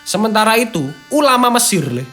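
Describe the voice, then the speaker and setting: A man with a fairly high voice and an East Javanese accent chats casually as a video host. He mixes read-out passages with slangy asides and interjections. Music plays behind him. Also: sing-song, a little fast, sharp tone. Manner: slangy, peppering talk with interjections. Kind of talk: casual chatty commentary, partly reading out an article. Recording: background music under the talk.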